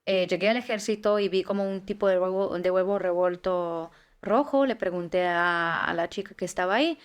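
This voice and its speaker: A woman's voice: on the low side, normal speed, clear, resonant tone. Young woman from Israel, 20s, interview guest; hesitant, searching for words. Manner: hesitant, many fillers and restarts. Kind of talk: casual interview.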